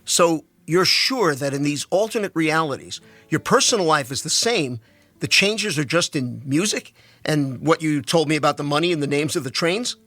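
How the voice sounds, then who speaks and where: A man's voice: fairly high pitch, lively intonation, normal speed, a bit bright, no echo, soft background music. A man playing a curious, joking married teacher in a dramatic reading, with an inquisitive, wry manner.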